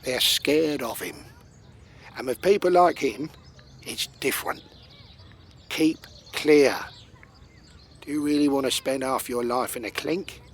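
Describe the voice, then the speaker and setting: A man's voice: mid-range voice, unhurried, pauses often, slight background noise, natural voice. An actor playing an older London ex-convict in a radio play, fatherly and streetwise as he gives a weary warning. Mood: grave, warning. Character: older male ex-convict villain, fatherly, gravely cautioning.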